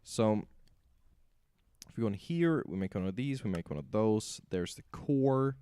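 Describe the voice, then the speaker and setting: Hoarse male game streamer, low mellow voice, lively pitch, thinks aloud while playing. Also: a little slow. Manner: talks to himself while building machines. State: raspy, sore voice. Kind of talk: casual gameplay commentary.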